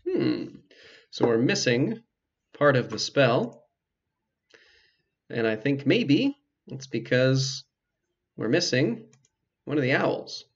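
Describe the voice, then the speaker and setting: A man giving casual, explanatory let's play commentary, with hesitant pauses as he goes. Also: mid-range voice, sing-song, breathy edge, highs cut off. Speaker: video game player and commentator.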